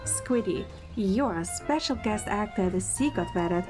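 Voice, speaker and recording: A woman's voice: medium pitch, expressive, measured pace, over music. A woman reading scripted first-person narration and dialogue as the heroine of an audio drama. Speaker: audio drama narrator, also playing the young producer heroine.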